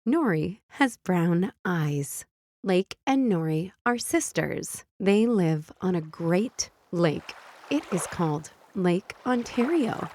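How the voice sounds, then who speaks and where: A woman hosting a children's read-aloud, narrating and teaching early reading in simple, deliberate wording. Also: on the low side, expressive, darkish tone. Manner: simple, deliberate wording, instructing young readers. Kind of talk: slow phonics storybook read-aloud for young children.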